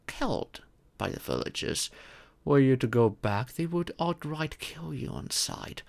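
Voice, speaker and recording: Male actor as stately, kindly dragon parent for audio roleplay: formal diction, unhurried delivery, expressive swings in pitch. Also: vocal fry, exaggerated voice. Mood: calm, reassuring. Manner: formal, measured, theatrical, unhurried. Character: gentle, stately dragon adopting a sacrificed child. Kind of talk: audio roleplay addressed to the listener.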